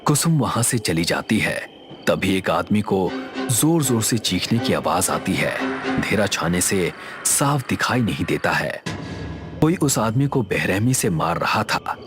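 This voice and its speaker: A man's voice: deep voice, big pitch swings, a little slow, music in the background. A man narrating a scripted horror story.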